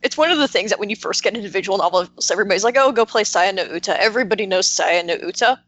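A woman's voice: medium pitch, very fast, fairly bright tone. A woman chatting casually as a podcast panelist, telling things in a hesitant, filler-heavy way. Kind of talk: casual roundtable chat.

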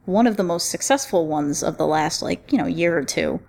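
A woman with a slightly low voice hosts a relaxed roundtable discussion. She talks informally, with slang and a joking streak, as if among friends. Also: normal speed, vocal fry. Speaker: podcast roundtable host. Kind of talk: casual roundtable chat.

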